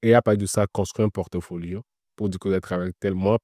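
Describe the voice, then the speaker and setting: Low dark-voiced man giving advice. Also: fairly even intonation, quick pace, dry recording, studio quality. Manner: explanatory, repeats points, frequent filler words. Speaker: interview guest working in Web3. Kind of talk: casual advice-giving interview.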